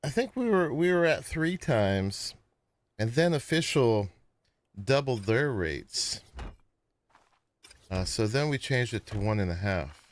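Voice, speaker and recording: A man casually chatting to viewers as he plays and builds in a survival game, with a sleepy voice and a relaxed, rambling manner. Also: mid-range voice, slow pace, long pauses. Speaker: gaming streamer. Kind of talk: casual gameplay commentary.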